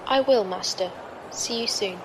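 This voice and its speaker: A woman's voice: medium pitch, unhurried, noisy background, lightly acted. A woman in a science fiction audio drama playing a loyal, obedient female squire who speaks formally and matter-of-factly. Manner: formal, precise, matter-of-fact.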